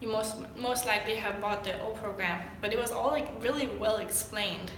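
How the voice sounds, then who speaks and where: A young woman from Denmark, sick and clearing her throat, answering viewer questions in a rambling, chatty way with lots of fillers on a livestream. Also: medium pitch, normal speed. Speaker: fitness vlogger. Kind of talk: casual livestream Q&A. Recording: echoey room with faint noise.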